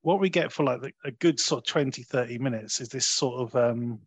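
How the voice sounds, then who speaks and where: A man's voice: mid-range voice, brisk, slightly rough, no echo. Close, dry-sounding man reviewing films. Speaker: film review podcast host. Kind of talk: conversational film review.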